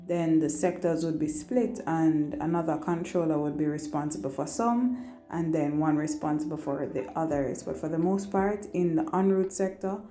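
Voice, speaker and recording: Female vlog host, lowish voice, conversational explainer delivery, music underneath. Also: warm tone. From Jamaica. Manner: conversational, checks in with "right?". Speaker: vlog host, air traffic controller and pilot. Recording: roomy echo, music behind. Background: background music.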